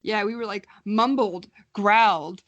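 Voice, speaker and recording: A woman's voice: mid-range voice, measured pace, muffled recording. Young woman chatting on a podcast, wry and understated, really dry close recording no echo. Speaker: podcast co-host. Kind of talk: casual chat.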